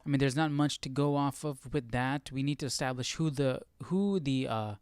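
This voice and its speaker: Clear, close-sounding man thinking aloud casually. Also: medium pitch, normal speed, darkish tone, no echo. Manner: tentative, questioning, musing. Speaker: livestream Bible study host. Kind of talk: casual live study talk, thinking aloud.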